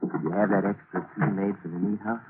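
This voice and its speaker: A noisy, narrow-band vintage radio recording carries an actor as a cold-blooded male schemer. His voice is on the low side, terse and commanding, a smooth-talking murderer from a radio thriller. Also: flat delivery, fast talker, close to an everyday voice. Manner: terse, commanding, muttering to himself. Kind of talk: old-time radio thriller acting. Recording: noisy, tinny old radio broadcast recording.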